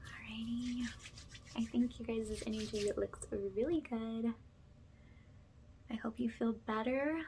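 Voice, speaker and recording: Soft, slow-talking woman whispering ASMR. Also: a little high, steady tone, noisy background. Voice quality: soft, breathy, often dropping to a whisper. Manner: gentle, slow, reassuring. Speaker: host of spiritual ASMR healing sessions. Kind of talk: ASMR, soothing guided energy cleanse.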